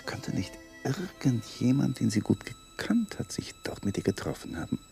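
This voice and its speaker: An actor playing a weary, brooding husband and lawyer in a 1980s German radio drama, polite and measured in manner. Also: male voice, high voice, wildly swooping pitch, gravelly, dark tone, soft background music, full character voice. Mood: brooding, secretive.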